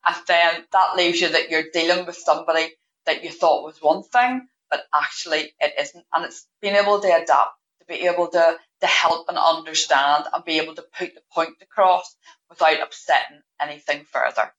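A woman's voice: low voice, normal speed, a bit bright, dull audio. A woman guest in an interview, explaining her views in an earnest, thoughtful way. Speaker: guest payroll manager. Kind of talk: interview answers.

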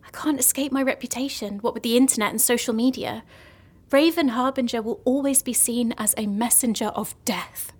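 A woman's voice: a little high, a little fast, husky. An actress playing a lonely, gloomy young woman in an audio drama comedy, telling morbid stories earnestly and hesitantly. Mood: lonely, pleading.

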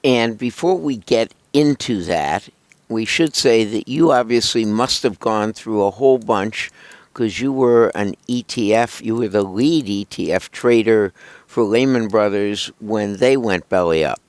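Older male host, hoarse but smooth, slow and rambling, dry close sound. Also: fairly low pitch, no room sound. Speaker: podcast host. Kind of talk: casual radio talk with ad read.

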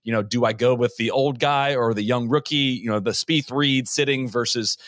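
A man co-hosting a casual sports podcast, talking in an animated, conversational way with frequent fillers. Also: medium pitch, moderate pace, no room sound, studio quality. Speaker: podcast co-host. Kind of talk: casual sports roundtable chat.

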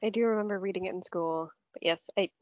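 A woman's voice: on the low side, fast talker, a little mellow, lo-fi sound. A woman co-hosting a casual chat, joking and informal in a playful way. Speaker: podcast co-host.

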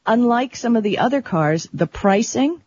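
A woman's voice: a little high, animated, normal speed, a little mellow, a little hiss or hum. American woman co-host, dull lo-fi sound. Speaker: talk show co-host. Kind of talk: casual chat. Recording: dull lo-fi audio.

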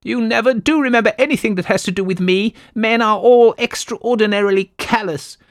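A man voicing a fretful, self-pitying wife who plays the invalid, in a shrill, plaintive, theatrical way. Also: fairly quick, no room sound, clean studio sound.

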